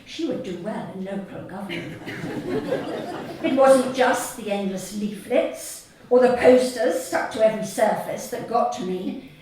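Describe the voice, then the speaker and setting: A woman reading her comic short story live to an audience, in a deadpan, measured way with deliberate pauses. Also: medium pitch, a crowd in the background. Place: theatre stage at a live reading event. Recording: echoey hall, distant mic, audience noise. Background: audience laughter.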